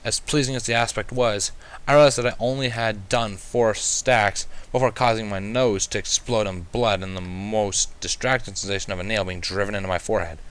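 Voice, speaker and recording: A man's voice: on the low side, fairly even intonation, fairly quick, a bit bright, smooth. A man reading a first-person story aloud as an amateur narrator, in a wry, dry manner. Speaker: amateur fan fiction reader.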